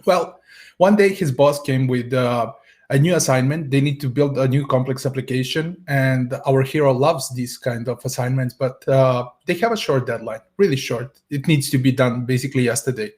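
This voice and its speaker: A man's voice: medium pitch, moderate pace, darkish tone. Guy giving a tech talk on a video call, storytelling, lots of uhs. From Serbia. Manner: storytelling, frequent hesitations. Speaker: conference speaker. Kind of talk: tech conference presentation.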